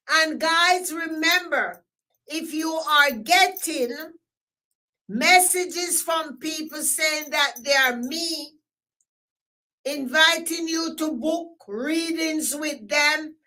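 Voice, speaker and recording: A woman with a Jamaican accent hosting a live stream, talking loudly and emphatically and repeating herself as she signs off. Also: high-pitched, low-quality recording. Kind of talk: casual live stream sign-off.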